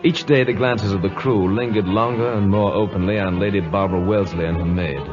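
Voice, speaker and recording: An actor playing a loyal naval first officer and admiring storyteller, earnest and deferential, in old-time radio drama. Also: male voice, lowish voice, fairly even intonation, fairly quick, close to an everyday voice. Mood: narrating. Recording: dull old radio recording, loud music.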